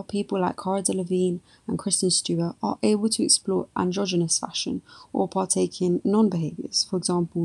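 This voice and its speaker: A woman co-presenting a podcast, reading scripted narration in a formal, measured way. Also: lowish voice, vocal fry, dark tone, husky.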